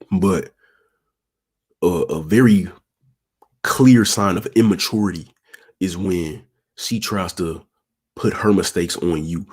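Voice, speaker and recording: A young man hosting his channel, giving casual advice to viewers in a laid-back way, with a low, monotone, raspy voice. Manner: laid-back, peppered with "you feel me", talks with his hands. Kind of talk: casual advice talk answering viewer questions.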